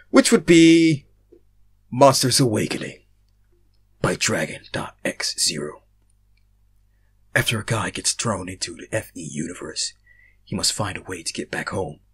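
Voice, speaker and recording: A young man reading fan fiction aloud, casual in his chat and theatrical in his narration, swinging between hushed and loud. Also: mid-range voice, breathy edge. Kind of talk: casual intro and dramatic story narration.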